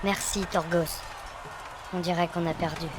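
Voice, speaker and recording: A woman's voice: fairly low pitch, steady tone, normal speed, very noisy, lightly acted. A woman playing a proud, defiant heroine in a fantasy audio drama, with an earnest way of declaiming. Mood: resigned. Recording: music behind the voice. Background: music.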